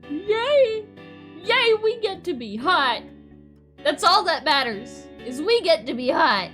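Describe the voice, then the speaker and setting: A woman's voice: very high-pitched, big pitch swings, takes it slowly, a bit bright. A playful, theatrical woman streaming a visual novel reading with chatty, exclamatory commentary. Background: music.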